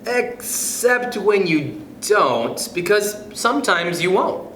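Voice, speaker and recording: Animated man reading a children's book. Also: high voice, takes it slowly, roomy sound, slight background noise. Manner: gentle, animated read-aloud with soft chuckles and asides. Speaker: youth club storyteller.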